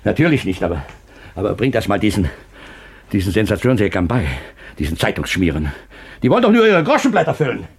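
A man's voice: on the low side, very animated, fairly quick, gravelly, slightly rough, exaggerated voice. An actor playing an agitated, bitter, domineering father in a German crime radio play, speaking emphatically.

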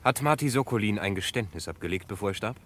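Husky, earnest bridegroom. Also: male voice, lowish voice, animated, fast, a bit bright. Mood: troubled, questioning. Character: earnest, troubled young male bridegroom. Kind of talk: 1960s German radio crime drama.

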